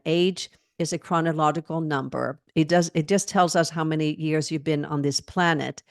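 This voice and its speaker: An older woman in her sixties speaks in a lower voice over a video call, close and dry with no room around her. She answers in an earnest, reflective way and laughs easily between thoughts. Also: dry recording. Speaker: fitness trainer and guest. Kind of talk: conversational interview answers.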